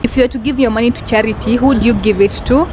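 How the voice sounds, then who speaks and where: A woman with a fairly high voice fires off short, plain questions from behind the camera. Clear traffic noise fills the street around her. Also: rapid-fire. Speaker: off-camera interviewer. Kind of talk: rapid-fire interview questions. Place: busy city street outdoors.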